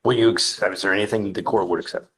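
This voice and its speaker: Brisk male attorney with a low voice. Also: fairly even intonation. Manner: brisk, polite, businesslike. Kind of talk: addressing the court. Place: courtroom.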